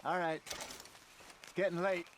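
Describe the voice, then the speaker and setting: An actor playing a seasoned, genial older outlaw with an American accent and folksy, conversational delivery in a Western video game. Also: male voice, high-pitched, flat delivery, measured pace, noisy background. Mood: easygoing. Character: seasoned old male outlaw, genial, wry, fatherly. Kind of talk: video game voice acting, Western drama dialogue. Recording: loud music and horse hooves behind. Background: music, horse hooves.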